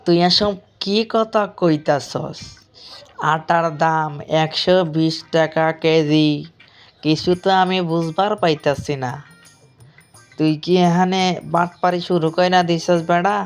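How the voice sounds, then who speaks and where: A man's voice: fairly high pitch, steady tone, slow pace, smooth. A man playing a worried, complaining villager in a cartoon, speaking colloquially with a Bangladeshi accent. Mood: indignant. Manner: grumbling, colloquial rural speech. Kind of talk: amateur cartoon dialogue. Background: music.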